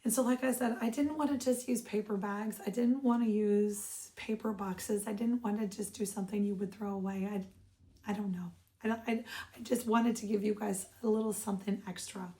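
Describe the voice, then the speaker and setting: A woman hosting a casual craft vlog, her voice hoarse and froggy, chatting upbeat with viewers she calls friends. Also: medium pitch, steady tone, normal speed, mellow. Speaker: craft shop owner and vlog host. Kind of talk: casual show-and-tell vlog.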